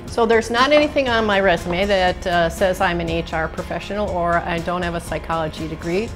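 A woman's voice: lowish voice, fairly quick, over music. Emphatic middle-aged woman job coach. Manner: emphatic, energetic, pep-talk delivery. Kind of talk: documentary interview.

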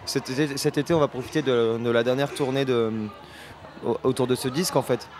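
A man's voice: mid-range voice, steady tone, brisk, a little mellow. A man in his thirties, a band's singer, answering interview questions hesitantly with many restarts, in a festival radio booth. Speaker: singer and lyricist of a band. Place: festival radio booth in front of a sponsor backdrop. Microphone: handheld radio mic held by the host.

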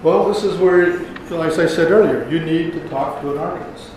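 Halting older man in an echoey room. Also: a little high. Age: older, about 70. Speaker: keynote speaker, archivist. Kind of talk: conference lecture. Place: lecture room, indoors. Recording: roomy echo, faint room noise.

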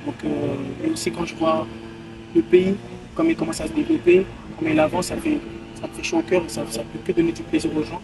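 A man's voice: extremely high pitch, sing-song, a little fast, vocal fry, far from the mic, very noisy. A man in his twenties, a footballer giving casual interview answers outdoors and repeating phrases for emphasis. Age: 20s. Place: outdoors by a hotel pool.

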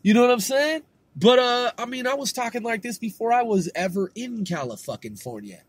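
Laid-back West Coast man, husky and dry. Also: very high-pitched, animated, moderate pace, no echo. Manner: rambling, laid-back slangy talk with lots of swearing and fillers. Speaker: podcast host. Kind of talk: casual solo monologue.

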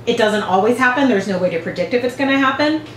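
A woman's voice: on the high side, animated, quick pace. A woman in her forties, a veterinarian, patiently explaining a procedure step by step in a clinic exam room, recorded from a camera across the room. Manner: clear, patient explaining with hand gestures. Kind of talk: instructional demonstration. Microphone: far camera. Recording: echoey clinic room with faint hum.

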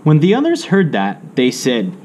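A man narrates a children's storybook in a mellow voice with very lively intonation. His delivery is measured and theatrical. A faint room noise runs through the home recording. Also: fairly high pitch. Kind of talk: expressive read-aloud narration for children.

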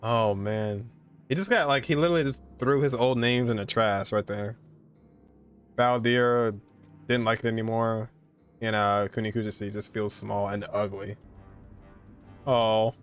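A man's voice: fairly low pitch, fairly even intonation, a little slow, pauses often, smooth. A young man casually reacting live to game cutscenes, slangy and full of awed exclamations, with game music behind him. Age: young adult.

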